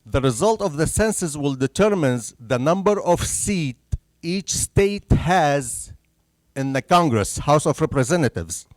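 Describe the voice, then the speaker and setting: A man's voice: fairly high pitch, lively intonation, unhurried, no room sound. Arabic-accented man giving a public talk. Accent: Syrian Arabic. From Syria. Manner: earnest, checks in with the audience after each point. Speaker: census presenter. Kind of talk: public information presentation. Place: senior center meeting room, indoors.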